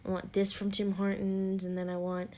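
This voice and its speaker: A woman's voice: mid-range voice, monotone, normal speed, mellow. A young adult woman with a Canadian accent, chatting casually and colloquially as an interviewee among family. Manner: colloquial, frequent use of "like". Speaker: interviewee, the host's daughter.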